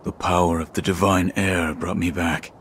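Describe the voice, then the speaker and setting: Man as a low-voiced stoic shinobi warrior. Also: little pitch movement, moderate pace, slight background noise, natural voice. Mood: restrained disbelief. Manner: terse, restrained, solemn. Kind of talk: dramatic video game acting. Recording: loud music underneath.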